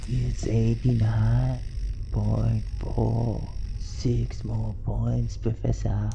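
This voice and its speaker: A man's voice: on the low side, flat delivery, very slow, creaky, warm tone, slight background noise, heavily acted. A man playing a loyal, hopeful rocket engineer in a radio drama, reporting with urgency. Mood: urgent, tense. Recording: muffled, dull home recording.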